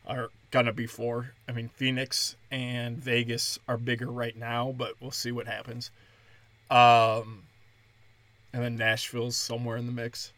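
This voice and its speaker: A young man, a stand-up comedian, rambling crudely and mockingly through a solo podcast, breaking into high mimicking voices. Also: monotone, unhurried, fairly bright tone, no echo.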